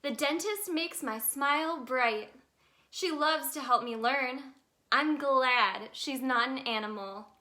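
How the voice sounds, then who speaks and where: A woman reading a children's story aloud in an expressive, sing-song way for young listeners. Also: high-pitched, takes it slowly. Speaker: children's storybook reader, in a tooth fairy persona.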